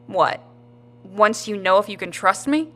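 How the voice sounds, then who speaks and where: An actress playing a tough, sardonic, war-hardened survivor in an audio drama, with a blunt, dry, sarcastic delivery. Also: female voice, medium pitch, lively intonation, measured pace, fairly bright tone. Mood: defiant, wary.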